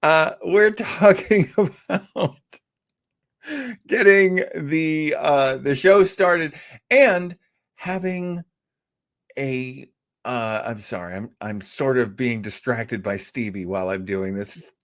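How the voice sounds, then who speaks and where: A man's voice: mid-range voice, slow pace. A man hosting a casual show, rambling, joking at his own expense and interrupting himself when distracted. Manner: jokey, self-deprecating. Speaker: host. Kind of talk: casual live show chat.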